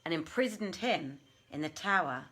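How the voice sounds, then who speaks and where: A woman's voice: on the low side, lively intonation, normal speed, bright, forceful tone, breathy edge, heavily acted. A woman voicing a scornful, imperious female jinn in a storybook, haughty and declamatory. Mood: proud admiration.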